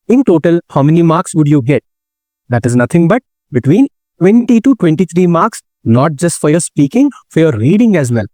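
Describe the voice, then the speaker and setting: A man's voice: on the high side, mellow, no room sound. A man teaching an exam speaking task, explaining step by step and asking rhetorical questions, often checking in with "correct?" and "okay". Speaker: test prep instructor. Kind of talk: slide-based exam tutorial.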